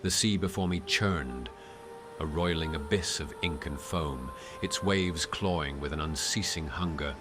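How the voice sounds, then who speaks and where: An extremely deep-voiced, haunted man, played by an actor, delivers a cosmic horror audio drama monologue. He speaks slowly and solemnly, dwelling on ornate phrases with grave dread. Music plays clearly behind his voice. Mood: haunted, grim. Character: haunted, dread-struck man tethered to an unseen sea entity. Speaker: narrator in an audio roleplay.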